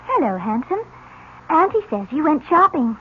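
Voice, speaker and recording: Radio mystery: delinquent orphan girl, theatrical and sly, piercingly high, on a muffled hissy recording. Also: sing-song, moderate pace. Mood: brazen. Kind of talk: old-time radio drama acting.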